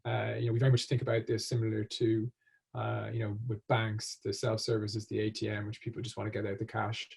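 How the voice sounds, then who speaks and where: A man giving a business presentation as a speaker, in a halting, hesitant way with frequent ums. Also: on the low side, completely flat delivery, gravelly, darkish tone, husky. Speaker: conference speaker.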